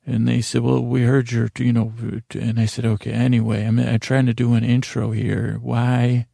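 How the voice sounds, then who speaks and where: Gravelly, creaky man rambling softly for bedtime. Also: medium pitch, little pitch movement, no echo, clean studio sound. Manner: meandering, halting, self-interrupting, trails off on tangents. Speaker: podcast host. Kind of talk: rambling bedtime podcast monologue.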